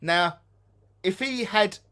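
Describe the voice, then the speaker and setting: A man's voice: sits high, slow pace, sharp tone, clear, resonant tone. A man with an Essex English accent co-hosting a ghost hunting live stream, chatting casually and repeating himself for emphasis.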